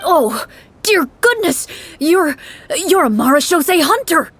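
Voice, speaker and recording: A woman's voice: extremely high pitch, unhurried, pitched above the performer's own voice, heavily acted. A woman playing an anxious, earnest townsman. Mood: overjoyed. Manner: earnest, pleading, excitable. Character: anxious, emotional male townsman. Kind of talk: fan voice-over of a game scene.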